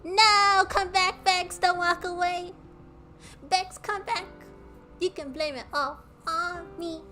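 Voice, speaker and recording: A woman's voice: extremely high pitch, fairly even intonation, slow, bright, forceful tone, soft background music. Bubbly, giggly woman streamer. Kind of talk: casual chat with live chat while playing a game.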